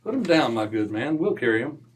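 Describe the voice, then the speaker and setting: A man playing a hearty, genteel gentleman doctor, a detective's companion, in an old-time radio drama. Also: medium pitch, sing-song, fairly quick, far from the mic, slight background noise, lightly acted, poor audio.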